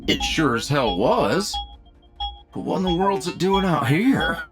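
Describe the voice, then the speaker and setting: A man playing a gritty, steady leader of an adventuring party in an audio drama, laconic and folksy in his drawl, with a gravelly voice. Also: on the high side, a little slow. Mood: grim, resolute. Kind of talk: anime-style audio roleplay acting. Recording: loud music over the voice.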